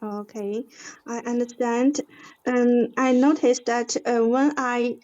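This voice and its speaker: A woman with a Chinese accent, an English learner speaking haltingly in a lesson as she searches for words, on dull lo-fi audio. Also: a little high, level tone, a little mellow. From China. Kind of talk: hesitant conversation in a lesson.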